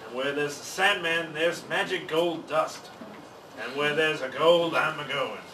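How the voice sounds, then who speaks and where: A man's voice: on the high side, unhurried, sharp tone. A man voicing a stubborn, greedy pirate captain who exclaims in pirate talk, from a children's storybook. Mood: scheming, insistent. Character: stubborn, greedy, grumbling male pirate captain hungry for gold. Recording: roomy, distant mic, faint noise.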